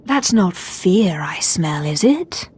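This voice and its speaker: An actress playing a cool, commanding Sith acolyte interrogating someone in a video game, controlled, clipped and authoritative. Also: female voice, on the low side, sing-song, slow pace, a little mellow, slightly rough, heavily acted. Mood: challenging.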